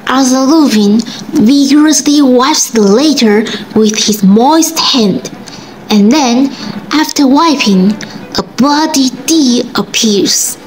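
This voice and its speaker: A woman's voice: high voice, takes it slowly, slight background noise, rough recording. A woman narrating an amateur radio drama as a scripted storyteller. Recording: music clearly behind the voice.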